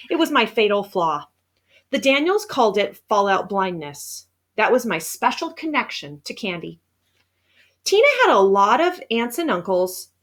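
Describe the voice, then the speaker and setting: A woman reading a children's novel aloud as scripted narration, with expressive storytelling emphasis. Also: medium pitch. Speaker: storybook reader.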